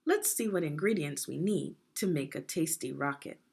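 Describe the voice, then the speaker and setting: A woman reading aloud a children's instructional book like a teacher, phrasing deliberately and putting prompting questions to young readers. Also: sits low, lively intonation, vocal fry, a little mellow, breathy edge. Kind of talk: read-aloud guided reading lesson.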